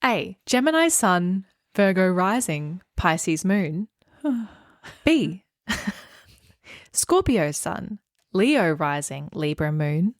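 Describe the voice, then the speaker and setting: A woman's voice: on the low side, very animated, very slow, long pauses, no room sound. Woman podcast co-host, dry sound. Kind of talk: casual chat, reading out quiz questions.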